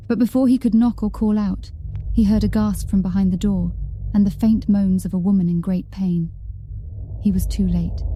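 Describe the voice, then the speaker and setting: A woman's voice: mid-range voice, mellow. A woman reading a scripted horror story as an audiobook narrator, in a dramatic storytelling style.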